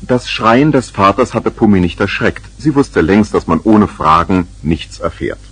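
An actor as the wry, matter-of-fact narrator of a 1970s children's radio play, with a husky voice. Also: male voice, on the low side, full character voice. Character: wry storyteller of a children's tale.

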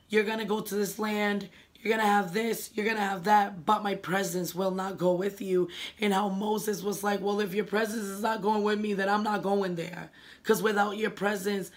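A young woman, hoarse and raspy from a cold, sharing her faith in an earnest, emotional vlog from her living room. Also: medium pitch. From New England. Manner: earnest, emotional, gestures a lot with her hands. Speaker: vlogger.

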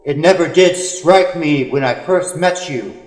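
A man reading poetry in a solemn, dramatic style, with earnest, measured phrasing and drawn-out pauses. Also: on the high side. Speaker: poet. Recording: echoey, long hall-like reverb.

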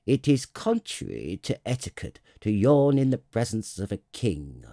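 A man's voice: on the low side, sing-song, a little slow, gravelly, dark tone, no room sound, clean studio sound, full character voice. A man voicing a pompous but kindly absolute king in a storybook, vain and fond of giving orders. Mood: pompous, commanding. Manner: pompous, imperious, sputtering.

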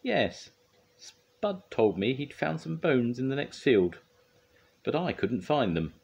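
A man's voice: medium pitch, sing-song, slow, gravelly, darkish tone, slightly rough, voice pushed up, full character voice. A man voicing an eager, earnest young talking digger in a children's storybook reading. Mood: thoughtful.

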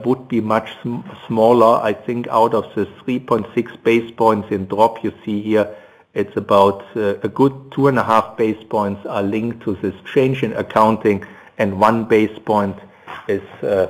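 A man with a fairly low voice gives a corporate results presentation to analysts. He speaks very slowly and haltingly, often hesitating and repeating words as he moves through the material. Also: darkish tone, poor audio. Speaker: company finance executive presenting quarterly results. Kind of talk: prepared results presentation to analysts, delivered loosely. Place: analysts' conference call, slides shown.

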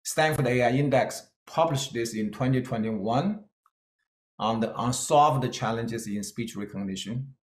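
A middle-aged man with a Chinese-Scottish accent gives a keynote in a roomy hall. His pitch sits in an ordinary middle range. He speaks earnestly and plainly, with hesitant fillers. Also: a little slow. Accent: Chinese-Scottish English. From China. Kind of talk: conference talk with slides.

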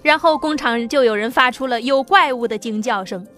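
A woman reading a science fiction audiobook with steady, dramatic storytelling. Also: fairly high pitch, fairly quick, soft background music. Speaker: audiobook narrator. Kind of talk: scripted narration.

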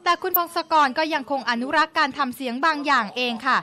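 A woman's voice: sits high, fast talker, heavy background noise. A woman narrating a television news feature from a script in a polite, polished presenter manner.

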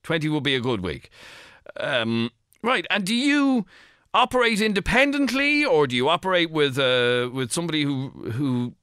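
Middle-aged Irish male talk-radio host; crisp bright tone, animated pitch, halting probing questions. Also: no room sound, clean studio sound. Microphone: studio microphone.

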